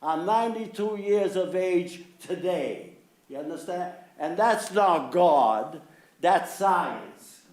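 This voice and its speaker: Man in his 90s, slow and insistent public commenter, slightly high voice, echoey meeting room. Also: animated, darkish tone. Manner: insistent, repeatedly asks if listeners understand. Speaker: resident giving public comment.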